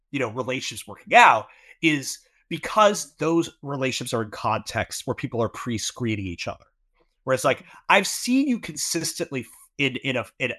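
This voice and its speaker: A man with a bright, forward voice talks through his ideas in a casual podcast chat. He explains thoughtfully, leaning on fillers as he goes. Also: mid-range voice. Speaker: podcast co-host.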